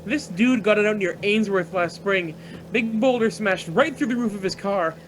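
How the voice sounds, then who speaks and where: A man's voice: high-pitched, normal speed, a bit bright, a little hiss or hum, rough recording. A man acting in a radio play as an easygoing, bold driver with a colloquial, offhand way of talking. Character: easygoing male driver and pilot, bold, folksy.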